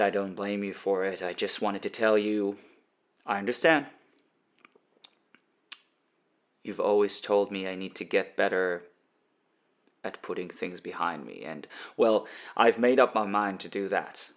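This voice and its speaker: An actor playing a weary, affable man driving at night in an audio drama, conversational, hesitant and wry. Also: low-pitched. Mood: regretful, hesitant. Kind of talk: audio drama acting, narration and dialogue.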